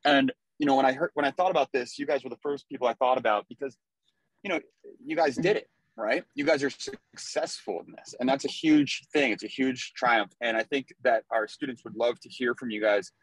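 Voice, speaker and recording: Quick, chatty man hosting a webinar from his car, dry close sound. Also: mid-range voice, slightly rough, dry recording, poor audio. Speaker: webinar host. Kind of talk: casual introduction. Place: car driving through a city.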